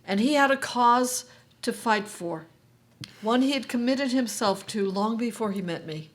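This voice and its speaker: An actress reading the part of a middle-aged Armenian-American mother in a staged play, speaking in a measured, reflective way. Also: fairly high pitch, animated. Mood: earnest. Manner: measured, slow, reflective delivery.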